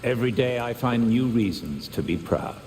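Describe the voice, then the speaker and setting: A man playing a courteous, dryly witty older British butler in a video game, with formal, measured diction. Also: mid-range voice, animated, darkish tone, a little hiss or hum, close to an everyday voice. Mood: grave, courteous. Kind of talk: video game cutscene dialogue.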